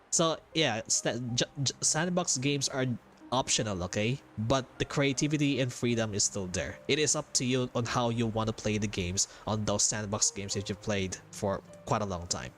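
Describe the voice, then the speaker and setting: A man's voice: mid-range voice, moderate pace, a bit bright, faint music behind. A man chatting casually as a game livestreamer, informal and often repeating short phrases. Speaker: livestreamer, gaming vtuber. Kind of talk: casual stream chat during game playthrough. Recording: background noise on stream mic.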